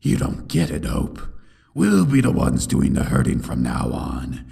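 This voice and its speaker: A man playing a bitter, menacing pony tyrant in a dramatic comic dub, with a gravelly growl and brooding, theatrical delivery. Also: a bass voice, wildly swooping pitch, a little slow, warm tone, reverberant, full character voice. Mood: menacing triumph.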